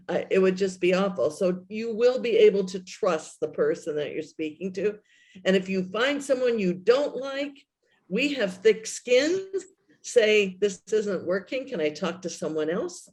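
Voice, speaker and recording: A woman's voice: fairly low pitch, a little mellow. A woman speaking as a therapist in a conversational panel chat, with a candid, emphatic manner. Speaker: guest therapist. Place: remote video call.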